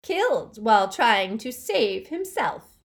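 A woman doing a cold, gloating dark lord from a storybook reading, his voice soft and silky with a snake-like hiss. He speaks higher and louder than the narration around him, rising and swooping as he mocks his enemies. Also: sing-song, takes it slowly, creaky, heavily acted. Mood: triumphant, gloating. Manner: soft, silky, hissing menace.

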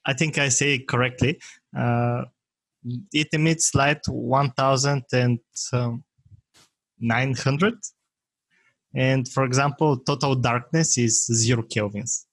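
Man, Bulgarian accent, second-language speaker, halting, searching for words, dry close sound. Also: medium pitch, steady tone, a bit bright, no room sound. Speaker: guest, software maker. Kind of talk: casual interview talk.

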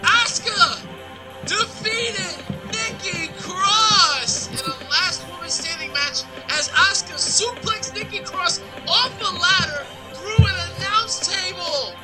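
A man hosting a comedic wrestling news segment with banter, speaking in an animated, mock-earnest way. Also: extremely high pitch, slow pace, poor audio. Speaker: host reading wrestling news. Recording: music playing behind the voice. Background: music.

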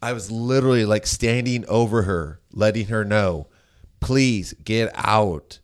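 A man with a very low, deep voice talks loudly and casually. He rambles through stories, restarting his sentences and leaning on fillers as he goes. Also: a little slow, clean tone. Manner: loud voice. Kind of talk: casual conversation, storytelling.

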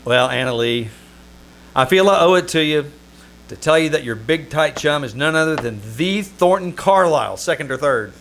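Wry press agent acted by a man, lively swooping intonation, excitable, exasperated, old-time radio narrator-hero, slightly noisy live stage recording. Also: fairly high pitch, normal speed. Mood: dry, resigned. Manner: wry, excitable, stammering when flustered. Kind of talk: old-time radio comedy acting with first-person narration. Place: small-town theater stage.

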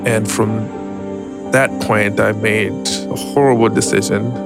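A man tells a personal story as an interview guest, reflective and hesitant, pausing often. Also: lowish voice, steady tone, creaky, loud music behind the voice. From Zimbabwe. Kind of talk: personal testimony, conversational storytelling.

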